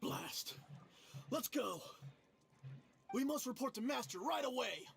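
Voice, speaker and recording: A blustering soldier in a video game. The voice is high for a man and creaky, with a sharp, strained edge and lively swings. He sounds defiant and tense. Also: slow pace, very noisy, heavily acted. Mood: frustrated.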